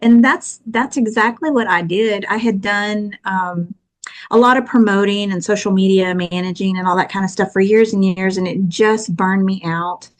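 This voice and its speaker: A woman's voice: mid-range voice, moderate pace. A woman in her fifties from Texas with a thick Texan accent, chatting earnestly at length as a guest in an interview. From Texas, USA. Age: 50s. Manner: earnest and chatty, long rambling answers. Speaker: guest author. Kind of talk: casual interview chat.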